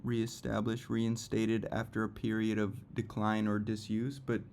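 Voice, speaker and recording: A man's voice: on the low side, monotone, unhurried, gravelly, heavy background noise. A man in a reading group discussion, speaking tentatively, hedging and trailing off as he thinks aloud. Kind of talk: casual discussion.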